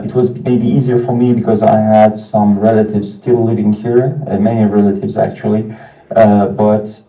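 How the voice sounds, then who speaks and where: A man in his thirties speaks in a very dark, low voice. He gives a prepared talk haltingly, pausing often. The recording is echoey and distant. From France. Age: 30s. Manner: hesitant, halting delivery with frequent pauses. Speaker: non-profit organization founder and representative. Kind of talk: informal prepared talk by video message. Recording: muffled, distant, echoey video playback.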